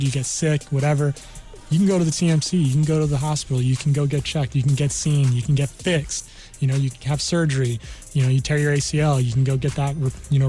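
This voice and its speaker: A man's voice: on the high side, dark tone. A man working as an army career counselor, explaining things conversationally as a radio guest and often saying "you know.". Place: radio studio.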